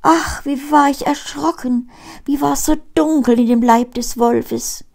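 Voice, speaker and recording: A woman's voice: high voice, steady tone, a little slow, gravelly, darkish tone, voice pushed up. An elderly woman voicing an innocent, wondering little girl in a fairy tale, earnest and exclaiming. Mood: frightened relief.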